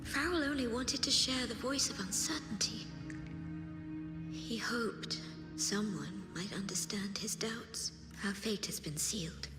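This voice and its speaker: An actress playing a sorrowful, resolute woman poet in a video game, solemn and hushed at times. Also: fairly high pitch, a little slow, lightly acted. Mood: sad resolve. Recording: echoey hall reverb, music behind.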